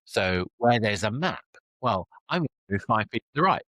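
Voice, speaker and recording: A man's voice: fairly low pitch, big pitch swings, moderate pace, pushed and bright, no echo. A man hosting a podcast interview, thinking aloud in an analytical, conversational way. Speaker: podcast host.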